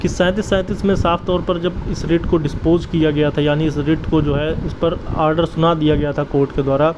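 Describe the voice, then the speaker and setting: A man giving informal exam updates and advice in an earnest, urging way, addressing listeners like brothers. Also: fairly high pitch. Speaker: exam-update video creator and advisor. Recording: dull lo-fi audio, clear outdoor traffic-like noise.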